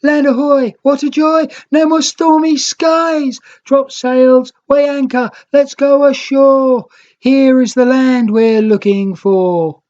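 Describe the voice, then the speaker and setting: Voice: high-pitched, steady tone, slow, darkish tone, highs cut off, pitched above the performer's own voice. A storybook narrator voicing small, hopeful seafaring creatures in an earnest, exclaiming way. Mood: overjoyed.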